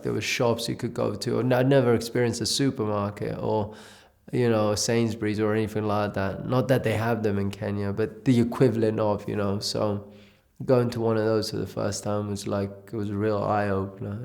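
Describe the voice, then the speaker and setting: A man's voice: lowish voice, level tone, moderate pace, creaky, darkish tone. A man from Ethiopia giving a reflective personal testimony in an interview, telling his life story in a loose, conversational way.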